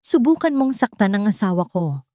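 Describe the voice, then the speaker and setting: A woman's voice: mid-range voice, very animated, moderate pace, dark tone, no echo, voice pushed up, natural voice. Woman acting as determined young rich man, firm and bold, radio drama, dry close sound. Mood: protective, threatening.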